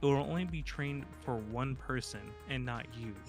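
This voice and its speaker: A man's voice: medium pitch, moderate pace. A man chatting casually as a guest, rambling with many fillers. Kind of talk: casual chat. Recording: music playing behind.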